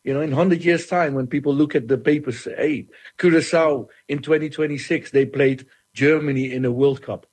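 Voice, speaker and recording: A man's voice: fairly high pitch, steady tone, normal speed, hardly any pauses, darkish tone, breathy edge. A middle-aged man from the Netherlands, an assistant coach speaking bluntly and outspokenly in an interview. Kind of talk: interview answers.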